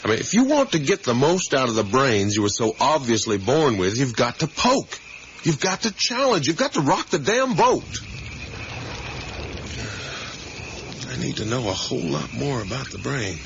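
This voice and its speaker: An animated, musing middle-aged father. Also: mid-range voice, a little fast. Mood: earnest, challenging. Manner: rambling, ruminative, emphatic. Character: musing, eager academic father on a motorcycle trip with his son. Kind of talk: 1980s radio drama acting, naturalistic. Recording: dull, noisy 1980s radio recording.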